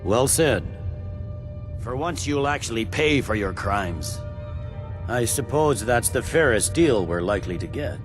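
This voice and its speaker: An actor voicing a stern, impatient middle-aged general in a fantasy game, clipped and commanding. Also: male voice, mid-range voice, expressive, measured pace, over music, close to an everyday voice. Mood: measured, grudging. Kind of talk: dramatic fantasy game dialogue.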